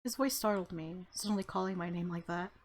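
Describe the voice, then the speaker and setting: A woman's voice: fairly low pitch, brisk. A woman narrating a visual novel aloud in the first person, expressively, as a let's player.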